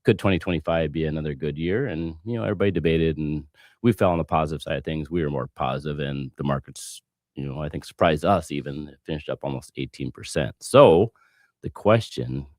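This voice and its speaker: Hoarse guy with a cough hosting a money podcast, really low voice, dry sound. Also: moderate pace, no room sound. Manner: relaxed, jokey, rambling. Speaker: podcast host. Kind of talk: casual finance chat.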